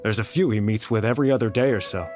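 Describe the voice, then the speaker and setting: An actor playing a principled, disgruntled researcher in a video game, blunt and somewhat dismissive. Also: male voice, fairly low pitch, fast, over music, close to an everyday voice. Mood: matter-of-fact. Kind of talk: video game character acting.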